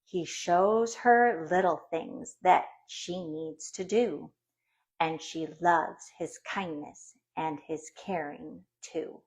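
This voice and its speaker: A woman reading a children's storybook aloud in an expressive, deliberate way for young listeners. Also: fairly low pitch. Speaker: storybook reader.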